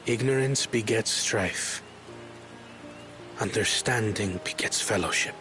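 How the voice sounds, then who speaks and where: A man's voice: lowish voice, takes it slowly, over music. An actor playing a serious, formal man in scripted fantasy video game dialogue. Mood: grave.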